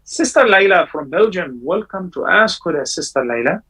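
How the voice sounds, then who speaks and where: A man hosting a live call-in show, answering callers' religious questions in measured explanations. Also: slightly rough, poor audio. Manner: measured explanations with sudden lively rises in pitch. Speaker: scholar answering viewers' calls.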